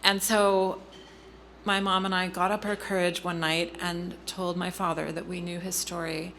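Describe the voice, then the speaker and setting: A middle-aged woman telling a personal family story in an interview, earnest and halting, with frequent ums and restarts. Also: fairly low pitch, flat delivery, creaky, a bit bright, slight background noise. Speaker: guest speaker.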